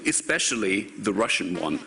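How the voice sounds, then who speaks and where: A man's voice: on the low side, very animated, normal speed, vocal fry, background noise. A man moderating a conference panel, introducing a guest and posing questions with many fillers.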